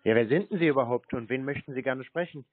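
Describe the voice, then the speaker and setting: A man answering calls in a terse, deadpan, stonewalling way, with phone-band sound. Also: medium pitch, fast talker, narrow, tinny audio. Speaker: prank call recipient.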